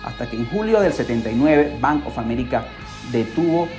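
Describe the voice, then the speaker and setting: Animated man telling stories over music. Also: medium pitch, brisk. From Peru. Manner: animated, rhetorical questions and asides to listeners. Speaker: podcast host. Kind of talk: casual storytelling podcast narration. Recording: music behind the voice.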